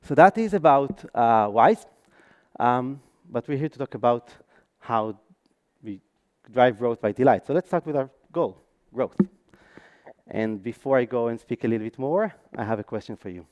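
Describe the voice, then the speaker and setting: A man's voice: mid-range voice, animated, moderate pace, darkish tone. A man from Israel with an Israeli accent, speaking on stage at a conference with frequent ums and restarts. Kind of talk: conference talk.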